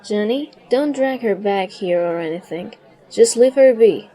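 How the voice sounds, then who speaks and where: A woman's voice: mid-range voice, animated, unhurried, warm tone. A woman voicing a caring female snow fox who runs a shelter, casual and concerned, in a comic dub. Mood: teasing.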